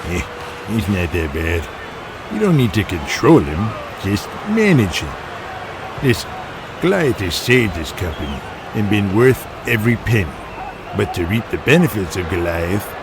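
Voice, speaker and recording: Man voicing older father, low pitch, gruff, dismissive, pragmatic, audio drama, loud background noise. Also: sing-song, measured pace. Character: stubborn, pragmatic older father, retired wrestler and promoter.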